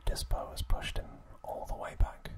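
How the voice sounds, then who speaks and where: A man hosting an ASMR recap, speaking in a soft, breathy, unvoiced whisper with a gentle delivery. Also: normal speed. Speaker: ASMR host.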